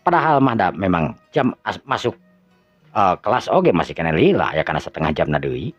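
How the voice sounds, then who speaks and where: Deep-voiced Sundanese male storyteller. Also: sing-song, dry recording. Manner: slow drawn-out narration with stumbles. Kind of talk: Sundanese folk tale narration. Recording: dull lo-fi audio with faint music.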